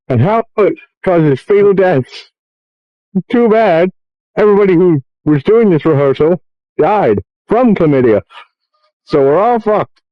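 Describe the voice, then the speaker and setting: A man's voice: sits high, no echo. A man voicing a puppet show host who gives mock-serious announcements, improvised in a virtual puppet show. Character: puppet show host giving a solemn health warning. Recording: dull lo-fi game voice chat.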